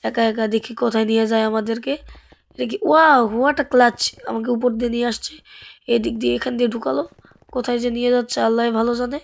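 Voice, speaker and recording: High-pitched Bangladeshi woman commentating gameplay. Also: fairly even intonation, brisk, vocal fry. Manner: chatty, thinking aloud, asking questions. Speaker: gaming video host. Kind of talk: casual gameplay commentary with roleplay.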